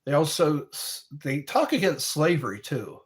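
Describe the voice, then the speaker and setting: A middle-aged man hosts a live stream in a loose, meandering chat. He talks slowly, with a pitch that rises and falls freely, and he often laughs at his own jokes. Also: very animated. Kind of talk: rambling casual chat to viewers.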